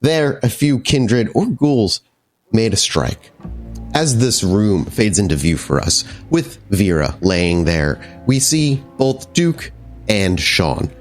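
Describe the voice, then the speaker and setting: A man's voice: on the low side, big pitch swings, slow, faint music behind. A man narrating a tabletop roleplaying game as storyteller and host, in a theatrical, scene-setting way. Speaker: game master and podcast host.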